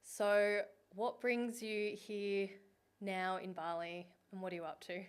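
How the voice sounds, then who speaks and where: A woman's voice: mid-range voice, flat delivery, takes it slowly, clear, resonant tone. A woman podcast host asking long, thoughtful, meandering questions of her guest. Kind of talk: interview questions. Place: in Bali.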